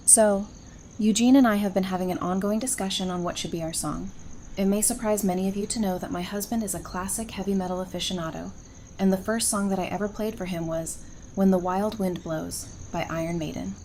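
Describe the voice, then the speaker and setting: A woman's voice: medium pitch, steady tone, fairly quick, warm tone, lightly acted. A woman playing an easygoing bride and musician in an audio drama, casual and wry in her delivery. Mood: playful, affectionate. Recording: faint outdoor night ambience noise.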